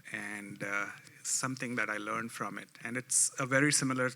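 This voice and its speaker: A man from India with an Indian accent, sharing an earnest, measured anecdote as a conference panelist. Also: mid-range voice, fairly even intonation, gravelly, bright, forceful tone.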